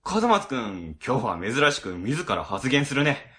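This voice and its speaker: Casting: male actor for breezy public-office manager, casual explainer, animated delivery, sharp bright tone, radio drama. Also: medium pitch, a little slow. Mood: breezy, talkative. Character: chatty, easygoing male government office section boss. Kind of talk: Japanese web radio drama acting.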